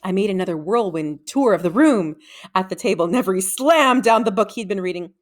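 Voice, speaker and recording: A woman reading a children's book aloud as an expressive, dramatic storyteller. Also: medium pitch, a little fast. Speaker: read-aloud reader.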